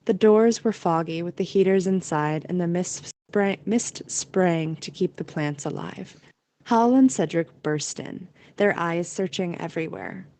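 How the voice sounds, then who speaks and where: Mellow, lower-pitched woman narrating a story. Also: measured pace. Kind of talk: scripted story narration for amateur audio drama.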